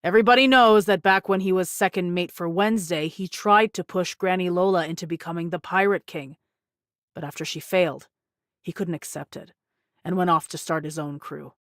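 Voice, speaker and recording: A woman's voice: on the low side, brisk, a bit bright, dry recording, studio quality, lightly acted. A woman voicing a wary, cynical female pirate with a blunt, scornful manner in anime game dialogue. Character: wary, cynical pirate woman who refuses risky ventures.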